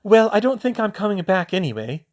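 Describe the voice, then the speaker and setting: A man voicing a fierce, loyal teenage witch. The voice is lifted high and has a lively pitch range, and she talks firmly and insistently. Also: moderate pace, muffled recording. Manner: firm and insistent.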